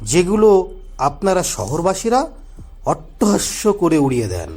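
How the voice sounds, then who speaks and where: A man playing a knowing village gentleman in a horror cartoon, who explains local ghost lore in an emphatic, theatrical way. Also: high-pitched, big pitch swings, a little slow, slightly rough, faint music behind, full character voice. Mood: explaining. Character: knowing village gentleman, reassuring yet scolding, telling a ghost tale. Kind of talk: dramatic cartoon story dialogue.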